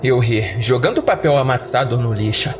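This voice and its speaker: Casting: male Brazilian narrator, mid-pitched, scripted horror podcast storytelling over a clear music bed. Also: brisk.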